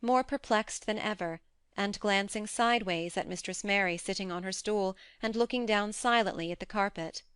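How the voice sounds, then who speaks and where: A woman's voice: mid-range voice, normal speed, clean tone, no room sound. A woman reading scripted audiobook narration as the narrator of a classic story.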